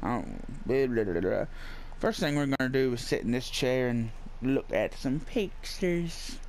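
Casting: male let's-player, unhurried, sweary, muttering casual commentary over light background noise. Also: mid-range voice, lively intonation. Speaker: gamer recording a playthrough.

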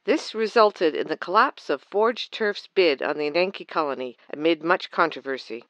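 Man as earnest newsreader, formal delivery, crisp bright tone, dry close sound, sci-fi radio comedy. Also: a little high, expressive, moderate pace, no echo, dull audio, faint music behind. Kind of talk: scripted newscast in an audio drama.

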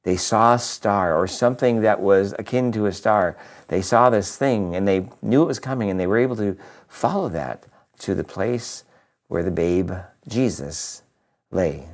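A priest in his fifties speaks close to his camera in a home living room, his voice sitting a bit low and still slightly nasal from a lingering cold. He talks in a loose, upbeat, conversational way, with fillers and little restarts. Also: moderate pace. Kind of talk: weekly video reflection. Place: living room with a Christmas tree, indoors.

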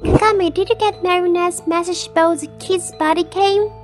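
A woman voicing an excitable, friendly teenage girl in an animated comic dub, eager and exclamatory. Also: extremely high pitch, moderate pace. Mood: curious. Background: faint music.

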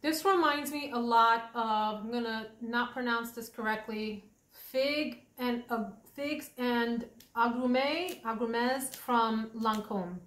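A woman's voice: fairly high pitch, a bit bright. A woman hosting a casual perfume review, talking in a chatty, thoughtful way. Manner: chatty, thoughtful, drawn-out pronunciations. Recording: echoey room.

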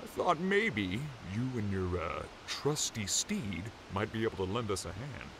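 Man playing a hearty, animated giant lumberjack. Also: on the low side, a little slow, background noise. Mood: earnest, hopeful. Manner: hearty, folksy, theatrical. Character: Paul Bunyan, giant boastful hearty lumberjack. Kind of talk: audio drama acting.

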